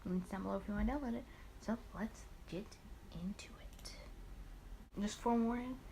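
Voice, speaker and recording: A woman's voice: mid-range voice, normal speed, dark tone, slight background noise. A woman hosting a casual let's play, rambling and talking to herself in asides as she goes. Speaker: host of a gaming let's play series. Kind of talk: casual let's play, chatting and reading visual novel dialogue aloud.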